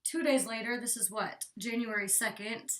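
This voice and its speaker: Bright-voiced woman, slightly congested. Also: fairly high pitch, moderate pace, slight background noise. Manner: chatty, rambling, lots of "like". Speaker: beauty vlogger. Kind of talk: casual hair tutorial. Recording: distant from the mic.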